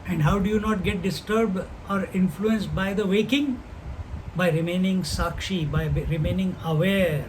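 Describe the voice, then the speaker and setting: A man's voice: high voice, slight background noise, rough recording. An older man teaching scripture, speaking unhurriedly and posing rhetorical questions to his students. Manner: chants Sanskrit verses slowly. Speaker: spiritual teacher. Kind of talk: scripture class talk.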